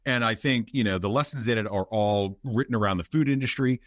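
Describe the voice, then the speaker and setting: A man hosting a conversational interview, speaking in a reflective, articulate way with measured phrases. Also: lowish voice, no room sound, studio quality. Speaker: podcast host, interviewer.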